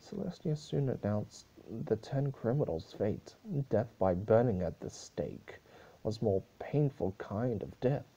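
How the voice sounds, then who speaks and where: A man reading a story aloud as a dramatic amateur narrator, halting now and then over the text. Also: lowish voice, warm tone, breathy edge, muffled recording.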